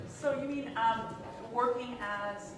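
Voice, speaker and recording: A woman's voice: fairly high pitch, takes it slowly. A woman answering as a panel speaker, explaining her point earnestly. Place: large room at a public panel event. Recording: echoey room, distant mic, background noise.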